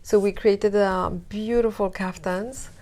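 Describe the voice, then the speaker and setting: A woman from Morocco, a guest speaking slowly and softly in an interview, drawing out her phrases with breathy trailing words. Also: mid-range voice, steady tone. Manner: slow and deliberate, drawn-out phrases with soft breathy trailing words. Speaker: guest, wellness brand founder. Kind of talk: slow, soft-spoken interview talk.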